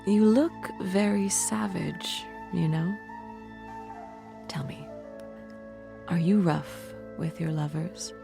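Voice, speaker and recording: A woman playing a sultry, fanged fiend-blooded courtesan in an audio drama, flirtatious, coy and teasing, with breathy whispered phrase endings. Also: on the low side, slow pace, pauses often, over music. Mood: seductive, teasing. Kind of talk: audio drama character acting.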